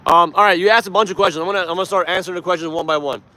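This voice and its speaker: Male livestream host from Iran, native Persian speaker, slightly high voice, informal and emphatic, chatting and opining outdoors. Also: racing, bright, forceful tone. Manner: emphatic, informal, swears freely. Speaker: live stream host. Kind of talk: casual livestream chat and political commentary.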